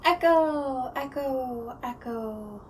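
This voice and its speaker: Pun-loving childlike ghost played by a woman, high-pitched and playful, audio roleplay comedy, faint noise behind. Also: extremely slow, a little mellow. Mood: excited, silly. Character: cheerful childlike prankster ghost who loves puns.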